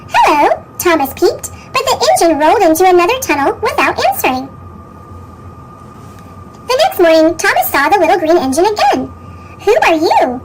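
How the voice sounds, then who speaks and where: Squeaky chipmunk-voiced woman reading a storybook. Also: very noisy. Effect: sped-up chipmunk pitch shift. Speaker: storybook reader. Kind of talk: scripted read-aloud children's story.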